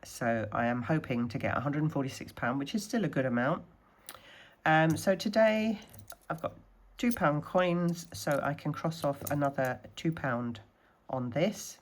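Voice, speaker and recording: A woman from the Scottish Highlands, a little snuffly from a cold, chatting casually through her budgeting challenges and counting money aloud. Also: animated, measured pace. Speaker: budgeting vlogger.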